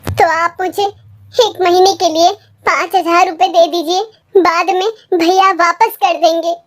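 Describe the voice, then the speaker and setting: A high-voiced storyteller voicing a careless younger brother in a village moral tale. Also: flat delivery, measured pace. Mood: pleading. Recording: music under the voice.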